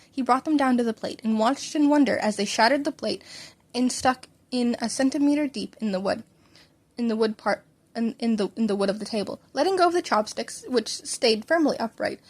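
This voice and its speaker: A woman narrating a story aloud as an informal hobby reader, sometimes stumbling and rereading a line. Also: fairly high pitch. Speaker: fan fiction podcast reader.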